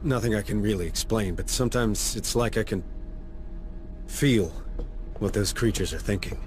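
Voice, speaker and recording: An actor playing a weary, sardonic middle-aged marine sergeant in a video game, with a gruff, wry, blunt manner. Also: male voice, on the low side, moderate pace, close to an everyday voice. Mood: brooding, worried. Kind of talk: cinematic video game acting.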